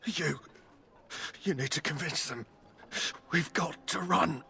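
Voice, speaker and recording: An actor playing a frightened man prophesying doom, ominous and urgent, with a hoarse voice, in a video game. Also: on the high side, takes it slowly, sharp tone, full character voice. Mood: dread. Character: frightened male doomsayer warning of annihilation.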